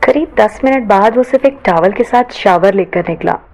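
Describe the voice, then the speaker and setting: A woman's voice: medium pitch, moderate pace, darkish tone. A woman narrating a scripted romance audio story expressively, mixing English words into her Hindi. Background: background music.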